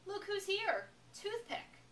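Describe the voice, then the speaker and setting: A woman's voice: extremely high pitch, big pitch swings, takes it slowly, fairly bright tone, pitched above the performer's own voice, full character voice. A woman voicing a mean, taunting first-grade girl bully in a storybook read-aloud. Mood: mocking. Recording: echoey, noisy room, distant microphone.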